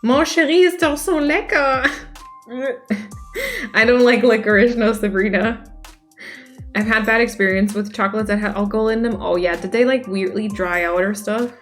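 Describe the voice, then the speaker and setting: A woman's voice: on the high side, moderate pace. A young woman from Denmark, chatting casually as she reads out and answers viewer messages on a stream. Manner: chatty, reacts aloud to chat. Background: faint music.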